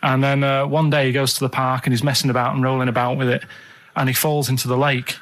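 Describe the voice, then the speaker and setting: A man's voice: mid-range voice, flat delivery, fast talker, fairly bright tone. A man chatting casually as a radio co-host, telling simple stories in a plain, rambling way. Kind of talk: casual chat, anecdotes.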